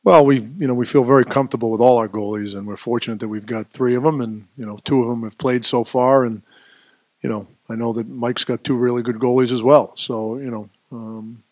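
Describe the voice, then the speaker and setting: A middle-aged man as head coach at a postgame press conference, speaking at a table microphone in a press room, measured and dropping off at phrase ends, with many fillers. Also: mid-range voice, fairly even intonation, darkish tone, no echo. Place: press room with sponsor backdrop.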